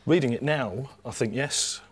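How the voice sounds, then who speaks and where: A man talking as a guest in a book discussion, halting and often repeating words. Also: medium pitch, breathy edge. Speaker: panel guest.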